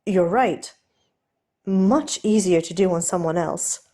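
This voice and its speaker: A bearded sailor man , as a woman narrator plays him, plain-spoken and curious. He talks bluntly and casually in a mellow storybook voice. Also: on the low side, takes it slowly. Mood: frustrated, pleased. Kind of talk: audiobook character voice.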